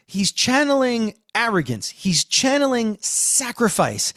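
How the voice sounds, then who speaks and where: A man's voice: sits high, a bit bright, no room sound, studio quality. A man hosting a podcast discussion as a cultural critic, speaking in an effusive, emphatic way with repeated phrasing.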